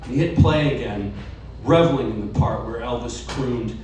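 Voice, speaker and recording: A man reads a personal story aloud at a live event, earnest and now and then dropping to a hush. His voice sits in the middle range. The room is very echoey and he sounds a good way off the mic. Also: medium pitch, moderate pace, far from the mic, slight background noise. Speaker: story reader. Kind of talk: live reading of a personal essay. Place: live reading event in a bar.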